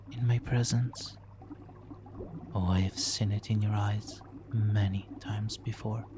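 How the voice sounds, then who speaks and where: A man playing a smooth-talking supervillain nemesis in an ASMR roleplay, hushed, soothing and coaxing as he hypnotically seduces a captive hero. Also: on the low side, background noise. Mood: amused, soothing. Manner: slow, hushed, soothing, coaxing with soft chuckles. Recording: water trickling behind the voice.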